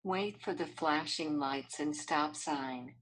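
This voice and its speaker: A synthetic woman's voice reading short phrases from a speech app in a flat, clipped way. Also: sits low, slow pace, heavily acted, rough recording. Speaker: talking button on a communication app.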